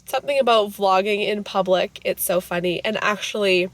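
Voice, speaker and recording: Hoarse chatty woman in her thirties. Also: medium pitch, moderate pace, no room sound. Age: 30s. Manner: chatty, rambling, self-correcting. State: hoarse from a cold. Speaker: vlogger and mother. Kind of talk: casual vlog chat. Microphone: handheld camera.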